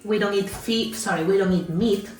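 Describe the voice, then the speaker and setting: Peruvian woman, calm telling old memories, echoey room, a bit distant, soft music behind. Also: mid-range voice, moderate pace. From Peru. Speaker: guest. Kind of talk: casual chat.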